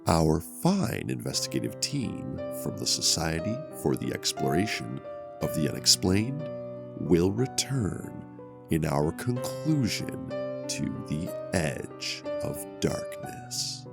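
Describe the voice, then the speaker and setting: A man reading a scripted horror podcast sign-off as announcer, in a theatrical, ominous manner over loud piano music. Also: a bass voice, very animated, takes it slowly, creaky.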